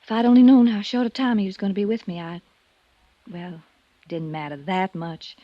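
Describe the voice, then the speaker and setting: A woman's voice: mid-range voice, fairly even intonation, fairly quick, no echo, natural voice. An actress playing an earnest, shaken young woman in a 1950s radio drama. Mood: wistful, regretful. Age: young adult. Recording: muffled vintage radio recording.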